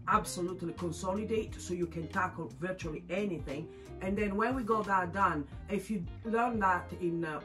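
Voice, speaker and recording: A middle-aged woman from Italy with an Italian accent, a crochet teacher earnestly encouraging beginners in halting English. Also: on the low side. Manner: earnest, encouraging, halting non-native phrasing. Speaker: crochet teacher and shop owner. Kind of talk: promotional class introduction. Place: indoor yarn studio with shelves.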